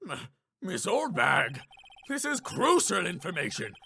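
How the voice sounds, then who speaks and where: A man playing an earnest, excitable young defense attorney in a video game, stammering and pressing with incredulous questions. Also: high-pitched, measured pace, vocal fry, breathy edge, voice pushed up, exaggerated voice. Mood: pressing, indignant.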